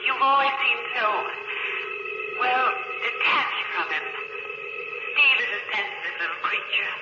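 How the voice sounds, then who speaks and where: An actress playing a gentle wife and mother, earnest and pleading, in a 1950s radio drama. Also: on the high side, expressive, unhurried. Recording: echoey muffled vintage radio with music behind.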